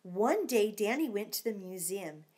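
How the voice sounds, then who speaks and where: A woman's voice: mid-range voice, big pitch swings, measured pace, darkish tone, slight background noise. A middle-aged woman reading a storybook expressively to children, with simple chatty asides and questions. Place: living room at home.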